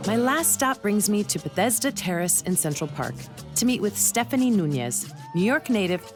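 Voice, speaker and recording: A woman hosting a travel and dance show, reading a clear, informative scripted voice-over narration. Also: medium pitch, sing-song, moderate pace, over music.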